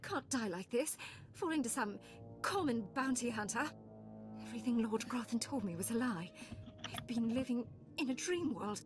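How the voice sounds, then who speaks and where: High-voiced desperate young Sith noblewoman, played by an actress. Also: lively intonation, normal speed, music in the background, close to an everyday voice. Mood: shocked, bitter. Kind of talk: dramatic game voice acting.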